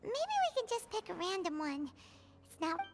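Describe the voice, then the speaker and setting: A woman voicing a small, excitable, fretful childlike fairy companion in a video game. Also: very high-pitched, moderate pace, noisy background, exaggerated voice. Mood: sheepish. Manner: speaks of self in third person, blurting, fretful. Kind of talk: animated game voice acting. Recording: background music under the voice.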